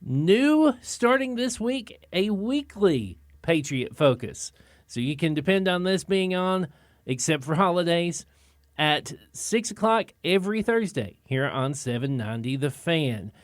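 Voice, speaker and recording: A male radio host with a fairly high voice, recorded dry and close in the studio. He talks in an easygoing, plain-spoken manner and keeps the show moving along. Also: sing-song, measured pace, no echo. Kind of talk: casual radio talk.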